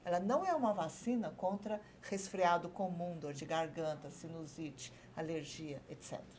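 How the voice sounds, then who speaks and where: A woman doctor, a guest on a TV health talk show, explaining calmly and pausing to search for her words. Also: lowish voice, a little hiss or hum. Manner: measured, searching for words, many fillers.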